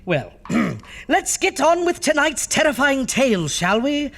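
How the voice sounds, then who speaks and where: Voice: sits high, very animated, a little slow, a bit bright, exaggerated voice. A performer playing a flamboyant undead doctor who hosts a campy horror radio show with theatrical, mock-sweet delivery. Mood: flustered. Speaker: host.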